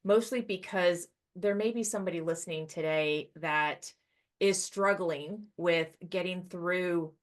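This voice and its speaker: A woman's voice: fairly low pitch, unhurried. A middle-aged woman interviewing a guest as a podcast host, in a conversational style.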